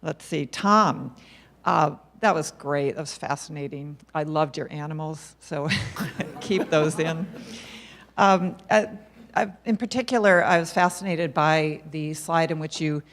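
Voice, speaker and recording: A woman with a strikingly low voice asks a question from the floor of a conference panel. She gushes with praise for the speakers before getting to her point, and her voice comes from some way off the mic. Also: measured pace. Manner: effusive, full of praise. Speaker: audience member asking a question.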